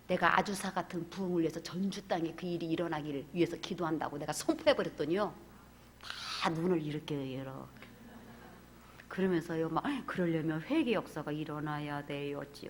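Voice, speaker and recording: A woman pastor preaching a fervent sermon, swinging from loud cries to hushed whispers. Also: on the low side, creaky, a little hiss or hum.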